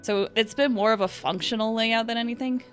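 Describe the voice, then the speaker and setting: A woman's voice: fairly high pitch, fairly quick, faint music behind. Playful woman streamer chatting over a game. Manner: playful, with little exclamations and sound words. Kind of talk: casual chat while playing. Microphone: streaming microphone.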